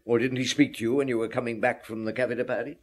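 A man playing a calm, probing middle-aged police inspector in a radio drama. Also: mid-range voice, very fast, natural voice. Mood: polite, probing.

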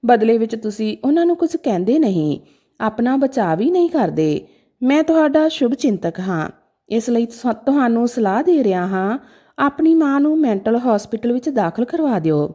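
A woman's voice: a little high, big pitch swings, moderate pace, mellow. A woman voicing a well-meaning male doctor in a story, formal and cautionary, giving insistent advice. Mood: insistent, concerned.

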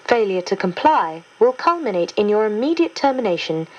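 A woman plays a formal agency instructor on a cassette tape in an audio game. Her voice is husky and swings widely in pitch, yet the delivery stays measured and official. It sounds set back from the mic. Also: big pitch swings, darkish tone. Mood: formal, instructive. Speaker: instructional announcer. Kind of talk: scripted instructional narration. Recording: muffled, distant cassette tape.

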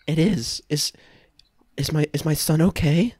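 A man's voice: fairly high pitch, unhurried, a little mellow, slightly rough, no room sound, studio quality. A young man voicing a flustered, apologetic mother worried about her missing son in an improvised comedy. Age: young adult. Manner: hesitant, apologetic. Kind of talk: improvised comedy table read.

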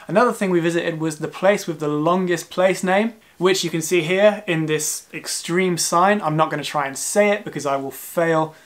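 A man's voice: on the high side, normal speed, hardly any pauses. A young man in his twenties talking casually to the camera from his living room sofa, chatty and self-deprecating. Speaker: travel vlogger. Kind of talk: casual travel vlog talk.

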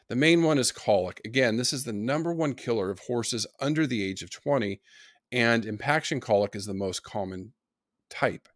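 A man's voice: lowish voice, no echo, studio quality. A middle-aged man lecturing on horse nutrition, giving practical tips in an online course. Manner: practical, advice-giving explanation.

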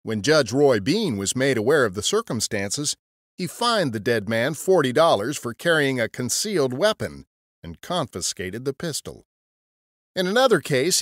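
A man's voice: medium pitch, dry recording, clean studio sound. A man narrating a scripted history podcast as a storyteller.

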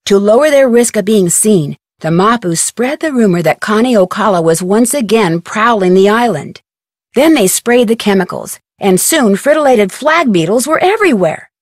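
A woman's voice: mid-range voice, lively intonation, normal speed, no echo, studio quality, lightly acted. An American woman voicing an upbeat, clever young female detective in a video game, narrating earnestly with wry asides. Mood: earnest recounting.

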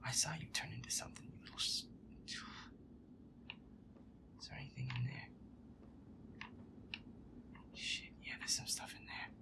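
A man muttering sarcastic, sweary gameplay commentary in a breathy, casual way, close to the mic. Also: normal speed, long pauses, in a whisper. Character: feisty werewolf boyfriend playing a scary game. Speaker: gamer playing a horror game.

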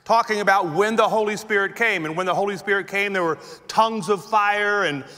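A man's voice: sits high, fairly quick, bright, forceful tone. A middle-aged man preaching a sermon as pastor on a large church stage, chatty and joking. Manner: chatty and joking, laughing at his own jokes. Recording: reverberant church auditorium.

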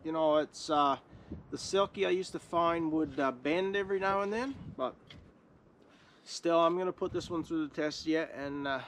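Middle-aged guy rambling in the woods, bit high voice, tripod camera, wind and noise in the background. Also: normal speed, fairly bright tone. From Newfoundland, Canada. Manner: rambling, easygoing, stumbles and restarts often. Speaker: bushcraft vlogger. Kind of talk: casual outdoor vlog talk. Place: outdoors in a sunny forest by a lake. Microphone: camera on a tripod a few metres away. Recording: outdoor camera audio with clear background noise.

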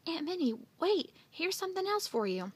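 A woman's voice: high voice, very animated, moderate pace, no echo, voice pushed up. A woman voicing an earnest, easily flustered young boy in a children's story, with an exclamatory, protesting way of talking. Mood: pleading.

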